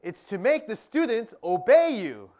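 Skit role: wry male principal, played by a man, high and lively voice, slow delivery. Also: full character voice. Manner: wry, laughs at the newcomer.

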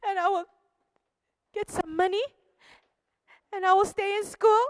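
Skit role: earnest young male teacher, played by a woman, very high voice, slow and dry, sighs and prays aloud. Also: steady tone, dry recording, big-room sound.

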